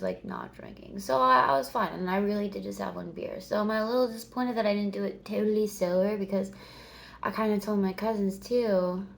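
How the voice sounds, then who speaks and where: A woman's voice: medium pitch, creaky. A woman in her thirties chatting casually to the camera, rambling and meandering, swearing now and then. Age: 30s. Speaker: vlogger.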